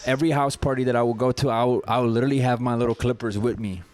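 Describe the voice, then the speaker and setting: A man's voice: medium pitch, steady tone, rapid-fire, darkish tone. A man, a podcast guest chatting casually and telling stories in a laid-back way. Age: 30s. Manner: storytelling, laid-back, says "you know what I'm saying" often.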